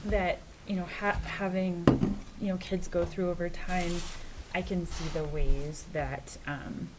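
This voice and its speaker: A woman's voice: lowish voice, very noisy. Hesitant woman speaking in a meeting. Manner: hesitant, many fillers. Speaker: school committee member. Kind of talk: meeting discussion.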